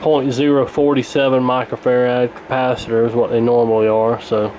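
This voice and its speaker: A man narrating a repair casually in an unhurried, halting, matter-of-fact way. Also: medium pitch, little pitch movement, a little mellow, a little hiss or hum, low-quality recording. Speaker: radio repair hobbyist presenting.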